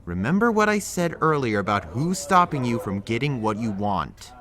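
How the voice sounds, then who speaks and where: A man's voice: mid-range voice, big pitch swings, normal speed, a bit bright. An actor playing a blunt young man who pushes his friend with firm, lecturing tough love in an audio drama. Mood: pushy.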